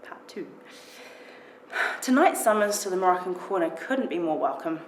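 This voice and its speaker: A woman with a slightly low voice reads a first-person story expressively. The recording is roomy and echoing, with clear noise behind her. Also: expressive, moderate pace. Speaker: writer reading own short story.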